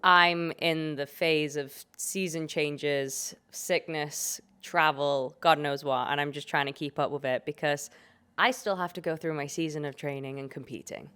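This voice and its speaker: Young british woman, low voice, hoarse with a cold, chatty and jokey podcast host. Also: fairly even intonation, measured pace, a bit bright. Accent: British English. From Britain. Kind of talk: casual chat.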